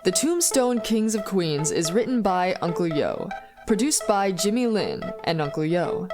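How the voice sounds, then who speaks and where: A woman announces the closing credits of a podcast in a scripted read. Her pitch is average for a woman, and music plays clearly behind her voice. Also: lively intonation, unhurried.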